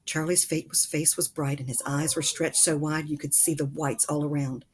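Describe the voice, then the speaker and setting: A woman reading a children's book aloud as an expressive narrator. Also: low-pitched, normal speed, breathy edge. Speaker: storybook reader.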